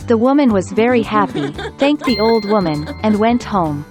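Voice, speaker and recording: Female storyteller, mid-pitched, calm bedtime reading with clear music underneath. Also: moderate pace. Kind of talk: scripted fairy-tale narration.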